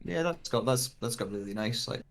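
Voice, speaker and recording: A man with an average-pitched voice talks casually as an actor working through a script. He thinks aloud in animated fragments, trying out phrasings as he goes. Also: brisk, gravelly. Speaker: voice actor and writer. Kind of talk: casual discussion of script readings.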